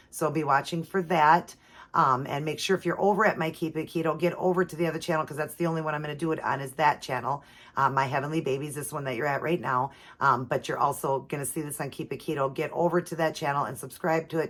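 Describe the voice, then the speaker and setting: A middle-aged woman, hoarse and raspy from allergies, chatting casually as host of her own video channel with plenty of ums and you-knows. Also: low voice, fairly bright tone. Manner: chatty with many fillers.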